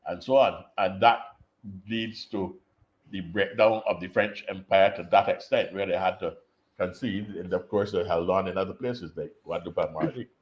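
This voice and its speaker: A low-voiced man lectures at a steady, considered pace, circling back on words as he builds each point. The sound is dull and lo-fi, like audio from a remote call. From Barbados. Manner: measured, explanatory, repeats words while thinking. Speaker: invited speaker, political scientist. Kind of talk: conference talk over video call.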